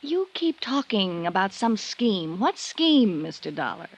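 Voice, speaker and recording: A defiant American widow from a vintage radio noir. She is challenging and sarcastic, edgy in manner, with a mid-pitched female voice. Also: big pitch swings, measured pace, breathy edge, highs cut off, heavily acted. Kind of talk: 1950s radio drama acting.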